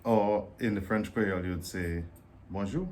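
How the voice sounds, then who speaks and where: A man from Dominica with a Dominican accent, explaining in a relaxed, joking way as co-host of a casual vlog. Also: on the low side, unhurried, a little hiss or hum.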